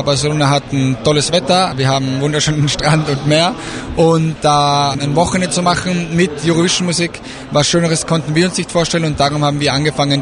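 Austrian man, eager, crowd noise behind. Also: a little high. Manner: eager, fast talk with many fillers. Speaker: event organizer. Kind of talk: interview at a trade fair.

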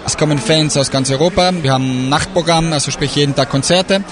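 A man's voice: a little high, fast. A man from Austria, an event organizer, talking eagerly with many fillers in an interview at a trade fair. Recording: crowd noise behind.

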